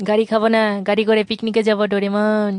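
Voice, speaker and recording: A woman's voice: mid-range voice, completely flat delivery, quick pace, a little mellow, a little hiss or hum, natural voice. A woman voicing a timid, whiny schoolboy who pleads and complains in a cartoon dub. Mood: pleading, whiny.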